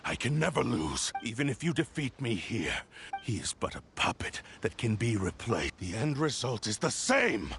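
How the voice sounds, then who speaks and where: An actor playing a proud, vengeful swordsman who speaks gravely and commandingly, over game music. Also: medium pitch, very animated, moderate pace, background noise. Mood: defiant. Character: proud vengeful male swordsman, grim and defiant. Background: game music score.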